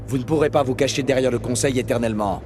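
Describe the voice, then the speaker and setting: An actor playing a blunt, determined soldier hero in a French video game, with terse, direct lines. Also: male voice, medium pitch, fast, over music, lightly acted. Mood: accusing.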